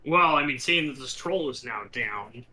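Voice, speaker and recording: A man's voice: medium pitch, lively intonation, moderate pace, bright, forceful tone, rough recording. A man chatting casually as a tabletop game player, with a wry, complaining manner.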